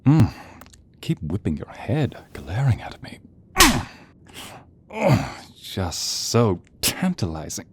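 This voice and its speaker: A man playing a sadistic, gloating monster hunter in an audio role play, with slow menace, breathy whispers and sharp commands. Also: fairly low pitch, huge pitch swings, heavy background noise, full character voice. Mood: taunting, gloating. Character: sadistic male monster hunter, mad, theatrical, gloating villain.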